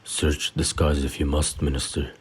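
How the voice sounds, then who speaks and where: A man playing a calm, sly, courteous elderly wizard headmaster in an audio drama, deep and husky, with unhurried politeness. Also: heavily acted. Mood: serene, courteous. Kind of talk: fan audio drama acting.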